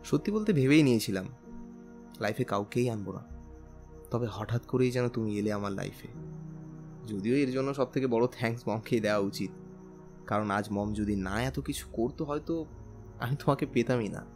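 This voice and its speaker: A man's voice: medium pitch, moderate pace, pauses often, a little mellow, natural voice. A man playing a tender, teasing young bridegroom in a romantic audio drama, speaking earnestly and persuasively. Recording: music bed under the voice.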